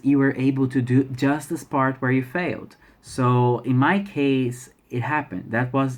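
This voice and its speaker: A young man from Brazil with a Brazilian Portuguese accent, speaking English as a second language in a casual vlog, often restarting and repeating words. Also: medium pitch. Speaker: language learner sharing exam experience. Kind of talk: casual vlog talk to camera.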